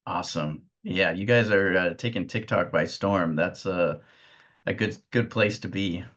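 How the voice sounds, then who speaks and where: An American man in his thirties with a low-pitched voice, heard on a muffled, band-limited recording. He interviews casually, feeling his way haltingly through questions. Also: a bit bright. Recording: dull, band-limited sound.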